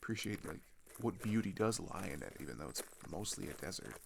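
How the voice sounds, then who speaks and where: A young man playing a scared, grieving older teenage boy in an intimate audio roleplay, talking in a halting, casual, rambling way. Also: low voice, expressive, gravelly, noisy background, exaggerated voice. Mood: wistful grief. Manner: halting, rambling, casual youthful speech with sighs.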